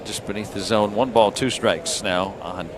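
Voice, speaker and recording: Guy doing radio baseball play by play, short unhurried calls, lots of outdoor stadium noise behind him. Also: on the low side, fairly even intonation. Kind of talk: live radio sports commentary. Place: baseball ballpark broadcast booth. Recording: clear crowd and ambient noise. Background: outdoor stadium ambience.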